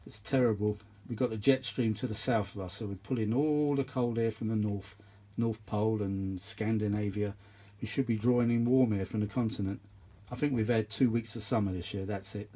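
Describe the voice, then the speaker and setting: A man's voice: on the low side, fairly even intonation, normal speed, mellow. A British man giving casual, unscripted repair commentary in a rambling, grumbling, blunt way. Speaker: host of vintage audio repair channel.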